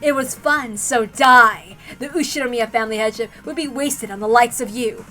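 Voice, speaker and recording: Woman putting on a taunting, high-pitched demon woman. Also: moderate pace, pushed and bright, soft background music. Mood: gloating, vicious. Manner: taunting, theatrical. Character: cocky demon woman, gleefully vicious in a fight.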